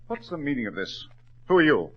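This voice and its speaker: An actor playing a tense, indignant and evasive English doctor in 1940s radio drama, with a clipped, halting manner. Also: male voice, fairly high pitch, very animated. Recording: muffled 1940s radio recording.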